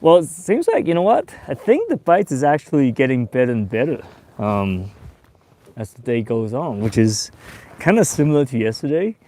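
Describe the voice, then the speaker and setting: A man in his forties who grew up in Taiwan and Australia, hosting a casual fishing livestream from a boat on a lake in a relaxed, chatty way. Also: medium pitch, moderate pace. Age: 40s. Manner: relaxed and chatty, with bursts of excitement when a fish bites. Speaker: fishing show host.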